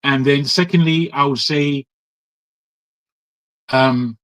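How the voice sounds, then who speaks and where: A man's voice: mid-range voice, fairly even intonation, slow pace, fairly bright tone. A man giving opinionated talk-show commentary, emphatic, often repeating and restarting his words. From Nigeria. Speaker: panel commentator.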